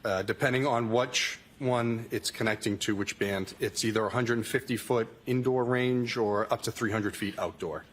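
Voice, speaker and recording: A man's voice: mid-range voice, fairly even intonation, normal speed, hardly any pauses. A man testifying as a state trooper in court, reading out records in a matter-of-fact, formulaic way. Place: courtroom witness stand.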